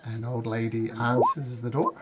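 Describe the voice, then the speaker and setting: A man with a croaky voice from a cold, narrating as game master in casual, chatty tones. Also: medium pitch, animated, normal speed, loud music behind the voice.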